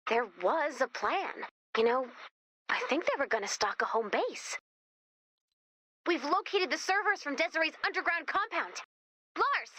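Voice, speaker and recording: A woman playing an eager, curious young researcher who guides players in a video game, chatty and expressive as she thinks aloud. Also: sits high, no echo. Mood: encouraging. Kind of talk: scripted video game dialogue.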